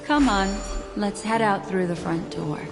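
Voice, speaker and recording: Composed, enigmatic mystical woman for a story game, played by an actress: refined, slow delivery in a long reverberant space. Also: mid-range voice, lightly acted. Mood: composed, teasing. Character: elegant, composed mystical woman, keeper of memories. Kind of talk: video game story acting. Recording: echoey long reverb, music behind.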